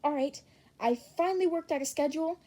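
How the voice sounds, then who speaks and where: A young woman narrates a piece of fan fiction. Her voice sits fairly high and she reads as a scripted audiobook narrator. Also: quick pace, dark tone.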